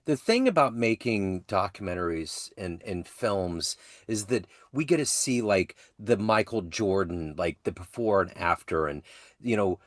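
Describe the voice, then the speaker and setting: A man hosting an interview podcast, asking questions in a lively, encouraging way. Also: fairly low pitch, a little slow, no echo, clean studio sound. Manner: lively, affirming with frequent "yeah". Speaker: podcast host.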